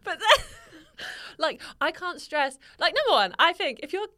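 Giggly high-spirited young woman. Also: sing-song, measured pace, sharp tone. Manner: giggly, exuberant, squealing. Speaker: podcast co-host. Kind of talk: casual chat.

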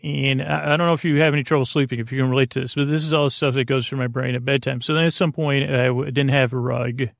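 Creaky, mumbling American man rambling. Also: medium pitch, fairly even intonation, no room sound, clean studio sound. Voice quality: gravelly, creaky. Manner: meandering, halting, trails off mid-thought. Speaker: podcast host. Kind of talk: rambling bedtime podcast monologue. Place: small closet, very dry sound.

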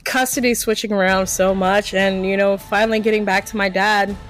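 A woman's voice: mid-range voice, a little fast, faint music behind. An American woman in middle age telling stories in casual chat, animatedly acting out what people said. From eastern Pennsylvania, US. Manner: animated storytelling, acts out quoted remarks. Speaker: podcast co-host or guest.

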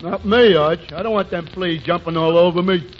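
An actor playing a slow-witted, earnest and bewildered sidekick in 1940s radio comedy. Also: male voice, high voice, lightly acted. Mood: earnest, confused. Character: dim-witted, literal-minded male barfly pal. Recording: dull, noisy 1940s radio recording.

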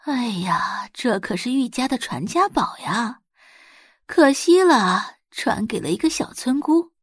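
A woman's voice: a little high, expressive, a little slow, breathy edge, no room sound, clean studio sound. A woman voicing an envious, scornful society guest who gossips with exclamations and mock pity in an audiobook drama. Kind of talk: audiobook drama character acting.

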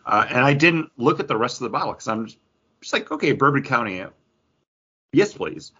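A man's voice: medium pitch, expressive, brisk, pushed and bright, husky. A man chatting casually as a podcast co-host, reviewing craft beer. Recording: dull lo-fi audio.

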